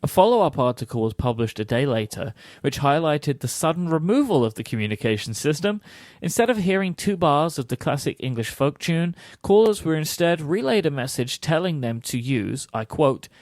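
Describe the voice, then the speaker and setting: A man's voice: fairly high pitch, very animated, moderate pace, a little mellow, dry recording. A man co-hosting a podcast, reading scripted narration with some casual asides into a close mic. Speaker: podcast co-host.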